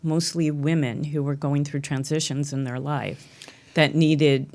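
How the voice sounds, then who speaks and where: A woman's voice: low-pitched, fairly even intonation, a little slow, darkish tone. A woman hosting a talk show, asking long rambling questions in a casual chat.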